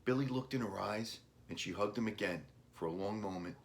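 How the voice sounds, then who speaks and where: A man with a low voice narrates a children's story. He reads scripted prose aloud as the book's author. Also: fairly quick, gravelly. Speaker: author reading own book.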